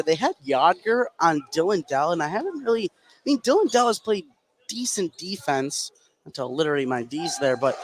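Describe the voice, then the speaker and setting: A man's voice: high-pitched, slight background noise. A man as color commentator on a live basketball broadcast, giving conversational analysis courtside in a gym. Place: gymnasium, courtside at a game.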